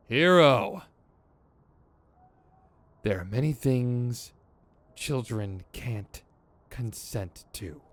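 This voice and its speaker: A man's voice: mid-range voice, dry recording. A man playing an exasperated but principled, protective villain in an audio roleplay, with a sardonic, emphatic, conversational delivery. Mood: indignant, protective. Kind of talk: ASMR roleplay acting. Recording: clear background noise.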